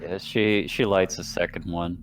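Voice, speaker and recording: A man playing a tabletop roleplaying game, chatting casually and narrating his character in a creaky voice. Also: low voice, steady tone, measured pace. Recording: faint background noise.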